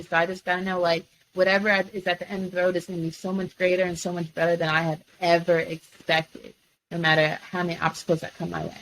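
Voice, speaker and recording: A woman's voice: medium pitch, fairly bright tone, slight background noise, low-quality recording. A woman in her twenties, a track athlete and sports reporter, talking earnestly and with slang as a guest on a casual podcast. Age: 20s.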